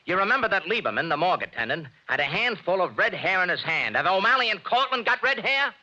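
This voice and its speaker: Bright, tough-talking private eye. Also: male voice, sits high, very animated, exaggerated voice. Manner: fast, clipped, confident, tough-talking. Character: wisecracking male private detective, tough and sharp. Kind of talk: 1940s radio acting. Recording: lo-fi 1940s radio broadcast.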